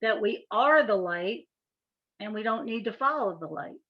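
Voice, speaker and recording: A woman's voice: on the high side, animated, moderate pace, lo-fi sound. A woman caller with a light Southern accent mixed with New Jersey, asking earnest, slightly hesitant questions. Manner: earnest, a bit hesitant, repeats words. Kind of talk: call-in question.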